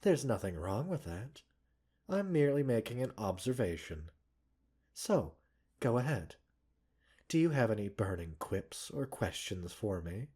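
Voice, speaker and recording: A man playing a gentle, caring doctor in a roleplay ASMR, speaking softly and breathily with measured, polite, gently chiding formality. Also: on the low side, sing-song, full character voice. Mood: lightly teasing. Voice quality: soft, breathy. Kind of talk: roleplay ASMR, scripted character acting.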